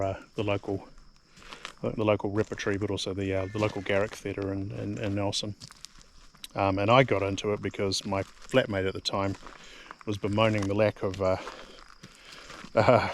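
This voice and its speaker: A middle-aged man from New Zealand with a New Zealand accent, rambling casually to camera, full of ums and repeated words. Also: lowish voice. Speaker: vlogger. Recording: faint background noise.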